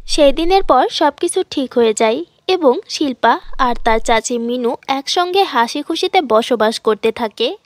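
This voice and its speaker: A woman's voice: sits high, expressive, measured pace, dry recording. A woman reading scripted fairy-tale narration for a cartoon as the storyteller.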